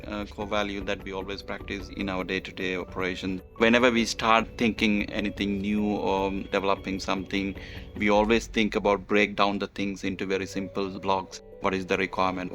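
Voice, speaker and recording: A man with a low voice answers interview questions, explaining step by step in a measured way and often pausing on fillers. Light music sits faintly behind him. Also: fairly bright tone.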